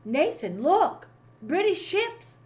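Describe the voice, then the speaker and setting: A woman voicing a plucky, anxious American fisher boy in a storybook, urgent and excitable. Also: extremely high pitch, takes it slowly, voice pushed up, heavily acted. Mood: alarmed.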